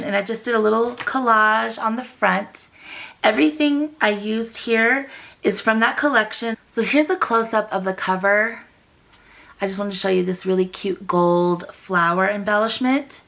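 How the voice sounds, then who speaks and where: A young woman hosting a craft channel, chatty and gushing, her voice still a little rough after a cold. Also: medium pitch, a bit bright. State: recovering from a cold, voice slightly rough. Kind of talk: casual tutorial chat.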